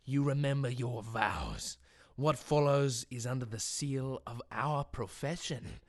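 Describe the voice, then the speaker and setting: A man playing a sinister, taunting, monstrous villain in an audio drama, with slow, theatrical, grandiose delivery. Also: mid-range voice, animated, no room sound, clean studio sound. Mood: gloating, contemptuous.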